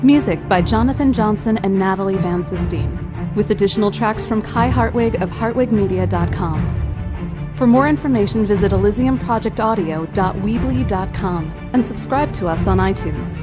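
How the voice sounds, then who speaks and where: A woman reading the credits of an audio drama in a measured way. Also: medium pitch. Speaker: credits announcer. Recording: voice-over with clear music.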